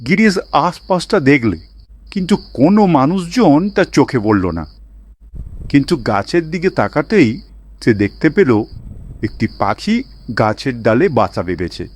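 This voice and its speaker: A man's voice: mid-range voice, big pitch swings, a little slow, a little mellow. A man narrating a scripted children's cartoon story as a storyteller.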